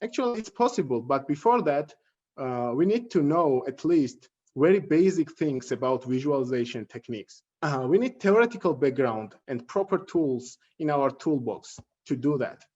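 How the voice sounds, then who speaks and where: A slightly muffled, low-fidelity recording of a male tutor presenting a lesson. His voice sits a little high for a man. He speaks with a halting delivery, often repeating and correcting words. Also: animated, darkish tone, slightly rough. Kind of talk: instructional presentation. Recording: dull, lo-fi audio.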